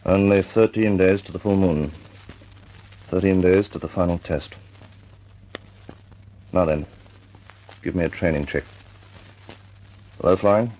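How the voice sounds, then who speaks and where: An actor playing a measured, authoritative senior air force officer in a radio drama. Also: male voice, low-pitched, mellow, rough recording. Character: senior RAF officer Cochrane, calm and authoritative.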